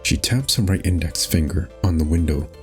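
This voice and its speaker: A man's voice: deep voice, brisk. A man narrating a visual novel game aloud in a scripted storytelling style. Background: faint music.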